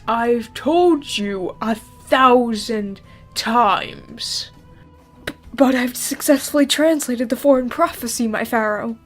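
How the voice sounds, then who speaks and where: A woman performing a comedic dub of a webcomic with a brisk, playful delivery and sudden loud outbursts. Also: high-pitched. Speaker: fan dubber voicing a webcomic. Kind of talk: comedic comic dub, quick skits.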